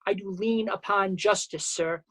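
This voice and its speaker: A woman's voice: medium pitch, fairly even intonation, slightly rough. Woman playing a bumbling, self-important male constable. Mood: officious. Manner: self-important, halting malapropisms. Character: bumbling earnest male constable who muddles his words. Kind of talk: Shakespearean staged reading.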